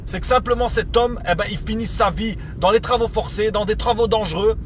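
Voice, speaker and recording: High-voiced Belgian man ranting to camera. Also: fairly even intonation, brisk, soft background music. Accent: Belgian French. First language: Italian heritage not stated as first language. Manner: earnest, stammers and repeats words, leans on fillers. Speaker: vlogger addressing viewers. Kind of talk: casual opinion rant to camera.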